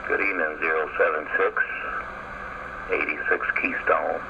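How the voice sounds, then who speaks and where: A man's voice: very deep voice, fairly even intonation, moderate pace, vocal fry, lo-fi sound. A man on CB radio repeating his call sign and giving brief friendly sign-offs. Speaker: CB radio operator. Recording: static-heavy CB radio skip signal.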